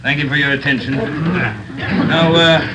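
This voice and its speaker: A man's voice: on the high side, slow, noisy background. An actor playing a veteran police captain in his fifties, lecturing recruits in a blunt, dry way in a 1950s radio crime drama. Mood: earnest.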